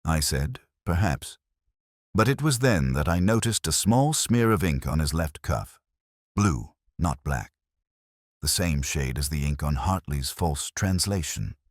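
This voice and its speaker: A man with an extremely low voice narrates as a melancholy, cerebral police inspector. His delivery is measured and literary, with expressive rises and falls in pitch. The recording is dry and close, suited to a brooding first-person detective story. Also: no echo.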